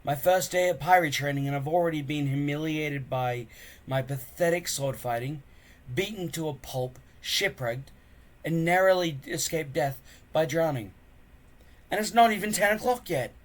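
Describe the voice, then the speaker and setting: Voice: medium pitch, moderate pace, voice pushed up, close to an everyday voice. A reader voicing an earnest, eager, hapless boy hero in a children's storybook, with a raised voice. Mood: bleak.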